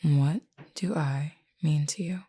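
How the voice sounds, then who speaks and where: A woman playing a flirty, self-assured female werewolf in an intimate audio roleplay, teasing and blunt, with a low, mellow, gravelly voice. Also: exaggerated voice. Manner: teasing, blunt, unhurried, uses pet name "hun".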